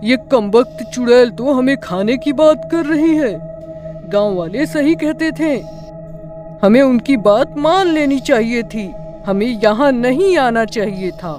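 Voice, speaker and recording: Young village treasure hunter for horror cartoon, acted: dramatic, exclamatory, slow delivery, soft music behind. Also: mid-range voice. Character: frightened or cocky young village friends hunting treasure. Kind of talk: Hindi cartoon horror story dialogue.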